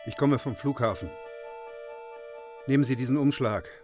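An actor playing a grieving, vengeful father in a German radio drama, speaking with insistent, deliberate phrasing. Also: medium pitch, music in the background, lightly acted. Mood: cold accusation. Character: grieving, accusing father confronting an air controller, vengeful.

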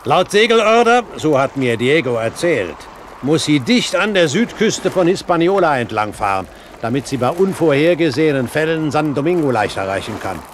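An actor playing a shrewd older pirate captain, grand and wry in a 1970s German radio drama. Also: male voice, a little high, very animated, moderate pace. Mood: confident, commanding. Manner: grand, wry, authoritative, given to mild curses. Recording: 1970s radio play, background noise.

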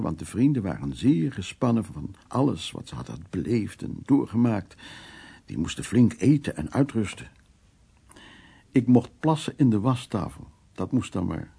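A man's voice: on the low side, sing-song, moderate pace, heavily acted. An actor playing a worldly, blunt man who recounts wartime escapades in an earthy, wry radio drama monologue. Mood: wry recounting. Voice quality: gravelly, husky, dark.

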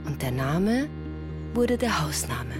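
An actress playing a woman who recalls her village childhood, narrating in measured, reflective literary prose for a radio play. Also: low-pitched, very animated, heavily acted. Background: music.

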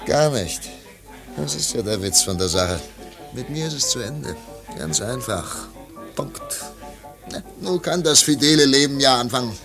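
Washed-up wistful artist in a radio play, theatrical, halting, voice going up and down a lot. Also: male voice, medium pitch, expressive, gravelly, music in the background. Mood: resigned, ironic. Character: disillusioned, wistful male artist musing over wine. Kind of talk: German radio play acting.

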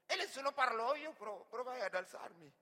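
An actor playing an earnest, wounded migrant worker in an emotive theatrical monologue. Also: male voice, very high voice, lively intonation, moderate pace, pushed and bright, heavily acted. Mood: anguished, frantic. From Senegal. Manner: emotive, storytelling delivery with repeated phrases. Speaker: stage actor and storyteller. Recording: reverberant hall.

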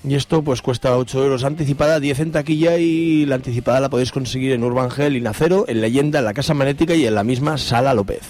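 A man's voice: mid-range voice, fast, hardly any pauses, a little mellow. A man with a Spanish accent from Spain co-hosting a radio show, giving concert listings in an informal, chatty, colloquial way. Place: radio studio. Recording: music playing behind.

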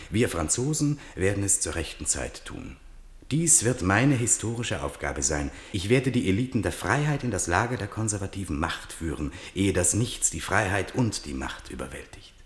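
A man narrating a literary radio play in a solemn, deliberate, declamatory way. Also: low voice, lively intonation, echoey room.

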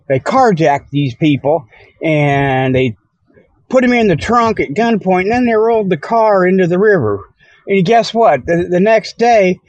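Folksy guy rambling thru a comic report, keeps getting sidetracked, kinda high voice, muffled dull sound. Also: darkish tone, dry recording. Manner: folksy, easily sidetracked, repeats "okay" and "you know". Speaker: host of a comic Sasquatch report show. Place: outdoors by a river.

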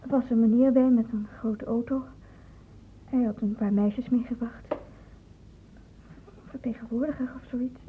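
An actress playing an anxious young college student in a radio play, speaking haltingly and timidly as she confides. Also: fairly high pitch, steady tone, mellow, natural voice. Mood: hesitant confiding. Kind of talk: Dutch radio drama acting. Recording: muffled, narrow-band old radio recording, noisy.

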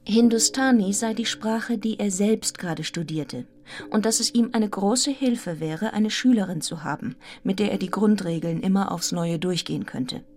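An actress playing a serious, restrained young governess in a radio drama, telling her story in a measured, articulate, introspective way. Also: mid-range voice, a little mellow, close to an everyday voice. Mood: reflective, grave. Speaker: actor in a radio play. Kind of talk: literary radio drama narration and dialogue.

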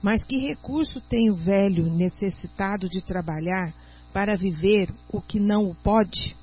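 Husky-voiced Brazilian woman, teacherly. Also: mid-range voice, darkish tone. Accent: Brazilian Portuguese. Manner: earnest, teacherly, reads aloud slowly. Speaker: guest speaker, doctor. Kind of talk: explanatory radio talk. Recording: muffled lo-fi radio audio.

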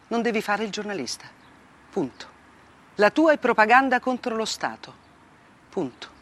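An actress playing a weary, defiant woman journalist bearing witness in a dramatic theatre monologue, with deliberate, clipped phrases and emphatic repetition. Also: medium pitch, fairly bright tone, close to an everyday voice. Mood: stern, bitter. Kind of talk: dramatic theatre monologue, first-person testimony.

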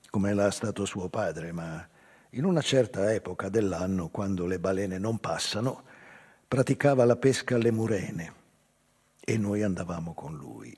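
An older man acting a theatre monologue as a reflective island man recalling his past in a measured way. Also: on the low side, warm tone, slightly rough. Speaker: stage actor. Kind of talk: literary theatre monologue, reminiscing storytelling. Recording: long reverb of a hall.

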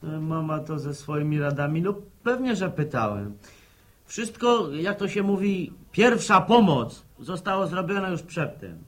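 High-voiced, flustered village man. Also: big pitch swings, unhurried. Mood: impatient. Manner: brusque, agitated, stammering slightly. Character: flustered, gruff grown village son. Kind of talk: radio drama acting.

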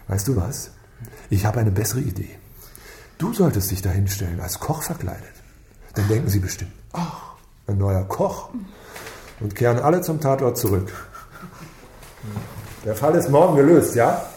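An actor playing an impatient, sarcastic police inspector in a radio crime drama, brusque and commanding. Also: male voice, on the low side, expressive, normal speed, long pauses, dark tone, slight background noise, close to an everyday voice. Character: impatient, sarcastic male police inspector leading a murder case.

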